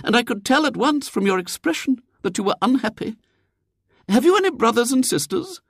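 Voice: mid-range voice, big pitch swings, fairly quick, breathy edge, no room sound, studio quality, pitched above the performer's own voice. A storyteller voicing a prim elderly lady in an audiobook, earnest, preachy and fussily sympathetic. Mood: gushing concern.

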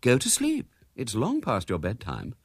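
A long-suffering British mother, played by a man, high-pitched and lilting. She chides placidly but firmly, as a character in a storybook. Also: wildly swooping pitch, measured pace, husky, dry recording, clean studio sound, heavily acted. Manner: placid, chiding. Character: placid, long-suffering middle-class mother, gently firm.

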